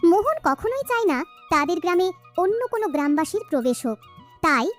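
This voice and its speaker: Very high-pitched West Bengal woman storyteller. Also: big pitch swings, quick pace, faint music behind. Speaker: narrator. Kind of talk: scripted children's story narration.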